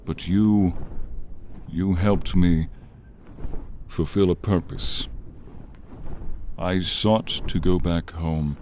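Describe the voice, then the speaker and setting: A man voicing a weary ancient dragon, noble and gentle, with solemn, formal speech in a dramatic fantasy ASMR roleplay. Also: slight background noise. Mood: weary, reassuring. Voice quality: deep voice. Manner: slow, solemn, formal, archaic phrasing. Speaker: voice actor and narrator.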